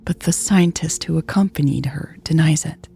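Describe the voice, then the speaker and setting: Guilty, pleading woman acted by a female narrator, soft and hesitant, husky with a creaky edge, tearful audio drama confessions. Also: low voice, normal speed, heavily acted. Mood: hesitant, ashamed. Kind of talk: audio fiction dialogue reading.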